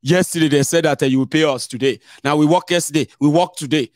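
A Nigerian man in his thirties giving sarcastic, animated political commentary into a desk microphone, with some Pidgin mixed in. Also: fairly high pitch, fast talker, no room sound, clean studio sound. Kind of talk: live stream commentary. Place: indoor home studio.

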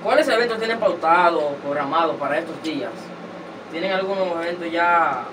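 A man's voice: fairly high pitch, fairly quick. A man hosting an interview, pressing his guests with insistent questions. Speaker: host interviewer. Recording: distant mic with steady noise.

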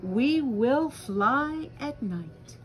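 A woman voicing an earnest, excitable young girl fruit bat in a storybook read aloud. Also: high voice, very slow, heavily acted. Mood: excited.

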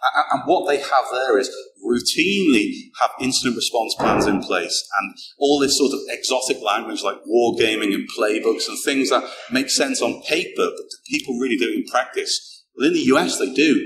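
A man's voice: medium pitch, normal speed, fairly bright tone. Man giving a talk in an echoey room. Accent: non-local British accent. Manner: conversational, frequent restarts and repeated words. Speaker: conference speaker. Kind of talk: technical conference talk. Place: small darkened event room, indoors. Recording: echoey room, faint background hum.

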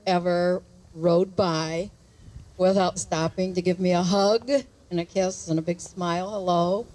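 A woman's voice: lowish voice, a little mellow, rough recording. An older woman remembering a friend at an outdoor memorial, talking plainly with some fillers into a handheld mic. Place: outdoors at a waterside memorial gathering.

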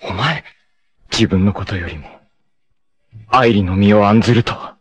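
An actor playing a proud, passionate, fiercely protective martial-arts warrior in an anime, with a dramatic, terse, masculine delivery. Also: male voice, lowish voice, a little slow, close to an everyday voice. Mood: anguished. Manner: dramatic, terse, masculine, swinging from hushed to shouting.

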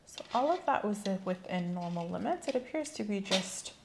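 A young woman speaking softly in a gentle, measured, instructional way as a medical examiner in an ASMR roleplay. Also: mid-range voice, animated, vocal fry, slight background noise. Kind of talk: soft-spoken ASMR clinical roleplay. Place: small room set up as an exam office.

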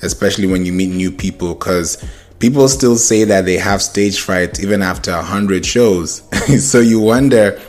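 A man's voice: deep voice, darkish tone. A man hosting a podcast interview, encouraging and affirming toward his guest. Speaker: podcast host.

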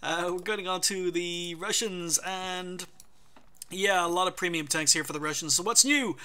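A man's voice: high voice, normal speed, bright, forceful tone. An Irish man with a slightly sore throat, giving casual, rambling commentary on his game garage, full of ums and self-corrections. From Ireland. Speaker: gaming channel host. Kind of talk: casual garage review commentary over gameplay.

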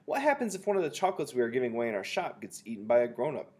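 A man voicing excitable, fawning female witches in a storybook, in a high, exaggerated, exclamatory manner. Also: racing, slightly rough, exaggerated voice.

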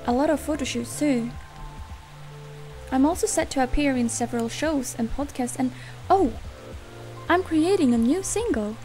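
A woman's voice: high-pitched, normal speed, long pauses, mellow, faint music behind, lightly acted. A woman voicing a bubbly, chatty young cat-eared pop singer in a visual novel fan dub. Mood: playful, proud.

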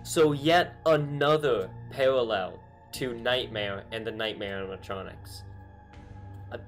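A young man talking casually at an ordinary pitch, thinking out loud with lots of ums and uhs. He sounds relaxed and conversational, like he's recording from his bedroom desk. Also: measured pace, soft background music. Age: young adult. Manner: chatty, thinking aloud with many fillers. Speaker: YouTuber analyzing game dialogue. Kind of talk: casual commentary. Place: small bedroom with string lights.

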